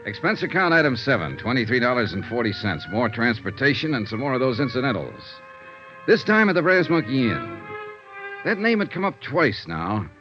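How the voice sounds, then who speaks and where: A dull, vintage radio recording carries a hard-boiled American male investigator, played by an actor. He is dry, clipped and unflappable, with very lively swings of pitch, like a man narrating his own case file. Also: lightly acted. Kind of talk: 1950s American radio detective acting with first-person narration.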